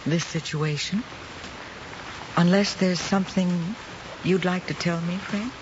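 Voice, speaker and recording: A woman plays a composed, probing schoolteacher in a mid-century screen drama. She speaks in a measured, tactful manner. The soundtrack is muffled with clear noise behind her. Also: lowish voice, fairly even intonation, close to an everyday voice. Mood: firm. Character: composed, probing female schoolteacher, concerned and fair. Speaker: teacher. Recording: muffled, noisy old film soundtrack.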